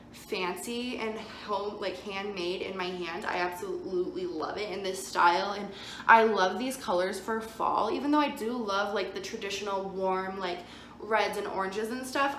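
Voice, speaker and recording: A woman's voice: mid-range voice, a bit bright. A young adult woman vlogger talking casually and enthusiastically to camera indoors, in an informal, gushing haul style. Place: indoors in a plain-walled room. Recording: echoey room with faint background noise.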